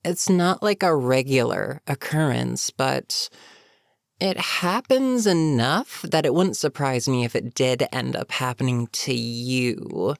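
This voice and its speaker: A voice actor playing a bitter, menacing goblin captain in a fantasy audio roleplay, speaking to a captive with sardonic, unhurried menace. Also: mid-range voice, creaky, no room sound, clean studio sound. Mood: smug, matter-of-fact. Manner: sardonic, unhurried, matter-of-fact threats. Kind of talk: scripted fantasy audio roleplay, addressing the listener.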